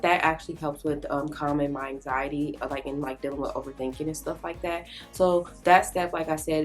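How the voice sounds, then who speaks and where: Low-voiced, slightly congested young woman vlogger. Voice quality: slightly nasal. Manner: rambling, filler-heavy advice. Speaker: lifestyle YouTuber. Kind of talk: casual talk to camera. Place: bedroom filming setup with ring lights. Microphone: camera in front, framed head and shoulders.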